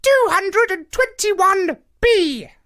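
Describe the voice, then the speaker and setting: A pompous squawking parrot. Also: male voice, extremely high pitch, expressive, bright, forceful tone, pitched above the performer's own voice, full character voice. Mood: haughty. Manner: lofty, condescending, drawn-out declarations. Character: vain, grandly pompous talking male parrot. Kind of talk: storybook reading.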